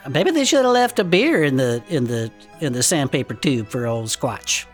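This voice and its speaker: A woman's voice: rumbling low, very animated, moderate pace, faint music behind. A woman playing a teasing, skeptical, slangy cryptid-club co-host who keeps interjecting with casual comic banter. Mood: teasing, curious. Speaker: podcast co-host.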